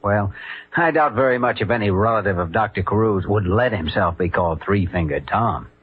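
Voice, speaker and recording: An actor playing a calm, reassuring hospital doctor, measured and easygoing, in old-time radio style. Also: male voice, lowish voice, noisy background, natural voice. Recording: dull old radio recording over music.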